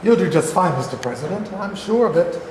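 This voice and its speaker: A man's voice: high voice, expressive, fairly quick, a little mellow. A man in a staged reading playing a distinguished, deferential government secretary addressing his president. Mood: courteous, reassuring. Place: large room at a live staged reading. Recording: very echoey hall, far off, faint noise.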